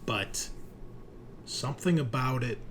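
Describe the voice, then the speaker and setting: A man narrating a tabletop game as game master, halting and conversational as he describes the scene. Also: medium pitch, fairly bright tone, a little hiss or hum.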